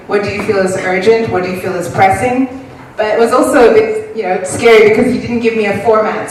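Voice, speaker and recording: Woman giving a talk, thoughtful, lots of ums, far off mic in a big boomy room. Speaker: guest speaker. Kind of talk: public talk. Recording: echoey room from far off, noisy.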